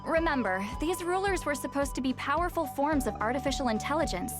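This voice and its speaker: A proud, sharp-tongued young shaman for a video game. She talks in a wry, slightly haughty lecturing tone with a fairly high voice, over clear game music. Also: expressive, fairly quick. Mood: explaining. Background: game soundtrack music.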